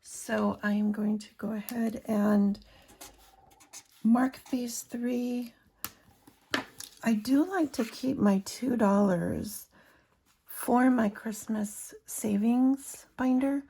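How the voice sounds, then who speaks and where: A hoarse woman chatting casually to her viewers as a budgeting vlogger, counting cash aloud as she works. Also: on the high side, very slow, pauses often, dark tone. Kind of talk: casual chat while cash stuffing.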